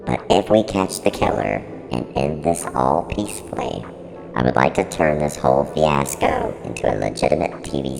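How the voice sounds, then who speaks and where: An extremely deep, gravel-heavy service unit speaks in a long reverb over music. It is blunt and flippant, quick to get defensive, and its pitch swings around in an analog horror web-series style. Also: male voice, full character voice. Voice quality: very deep, creaky, heavily reverberant. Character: snarky, evasive service-unit showrunner from a horror studio. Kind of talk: in-character mock interview.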